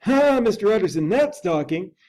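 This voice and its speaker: Man voicing frightened old butler, high agitated voice, urgent. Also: animated, normal speed, warm tone. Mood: insistent. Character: frightened loyal male servant, older butler.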